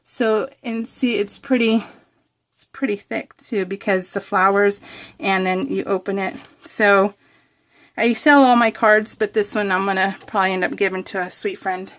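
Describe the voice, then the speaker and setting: A woman's voice: medium pitch, steady tone, normal speed, fairly bright tone. An American woman chatting casually to viewers while crafting, in a bubbly, giggly, rambling, gushing way. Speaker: craft vlogger hosting a scrapbook process video.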